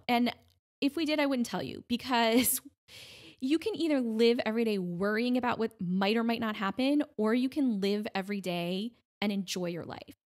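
A middle-aged woman speaking conversationally as an interview guest, telling her story in an animated way and often voicing quoted remarks. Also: a little high. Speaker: podcast guest, breast cancer advocate.

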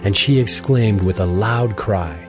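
A man narrates scripture for an audio drama. His low voice is measured and solemn, set over clearly audible music.

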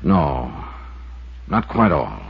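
An actor playing a brisk, wry American insurance investigator in 1950s radio drama, with clipped delivery. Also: male voice, extremely low pitch, sing-song, vocal fry, slightly rough, narrow, tinny audio, full character voice. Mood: thoughtful, insistent. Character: sharp, persistent freelance insurance investigator, a man. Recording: band-limited 1950s radio recording with music.

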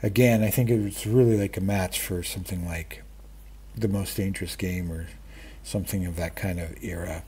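Man in his fifties or older, low voice, soft dark tone, husky, halting casual vlog talk. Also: fairly even intonation, a little hiss or hum. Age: 50s or older. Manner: halting, self-interrupting, self-deprecating. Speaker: book vlogger. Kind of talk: casual rambling chat about stories and radio. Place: small room at home, indoors. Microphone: webcam framing, wearing wired earphones.

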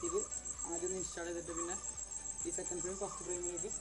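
A young man talks quickly and casually, walking viewers through a gym bench build one step at a time. He is recorded outdoors in a leafy yard by a camera set at a distance. Music plays clearly beneath his voice. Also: high voice, little pitch movement. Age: young adult. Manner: chatty, explains step by step while assembling. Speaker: fitness vlogger. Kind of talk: casual how-to commentary. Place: outdoor garden yard among banana trees. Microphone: camera on tripod at a distance.

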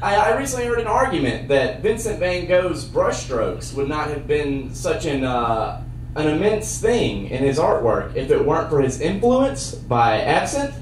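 A young man doing a stand-up comedy set with a handheld mic on a theater stage, trading dry one-liners for sudden loud bursts. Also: fairly high pitch, big pitch swings, measured pace, slight background noise. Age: young adult. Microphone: handheld mic on a cord. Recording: roomy sound from a far camera.

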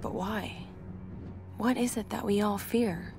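An actress playing a dutiful, uneasy young priestess in a video game, reading her diary in an earnest, measured way. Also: medium pitch, gravelly. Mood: troubled. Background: music.

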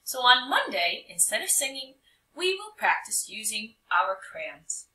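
A woman's voice: on the high side, big pitch swings, unhurried, heavy background noise. A woman voicing a stern, bossy first-grade teacher in a storybook, with firm, emphatic, scolding delivery. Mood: strict. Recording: roomy echo, distant mic.

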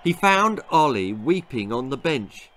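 An older man reading his children's story aloud in an expressive, dramatic narrating style. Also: medium pitch, measured pace. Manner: expressive, theatrical. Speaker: author reading own story. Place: small book-lined room indoors.

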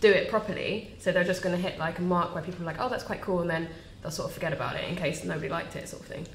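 A woman with a somewhat low voice chats freely on a fashion panel, stringing thoughts together with fillers. Her voice carries an echoey room with faint hiss. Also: racing. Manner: chatty, lots of "like". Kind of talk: casual panel discussion.

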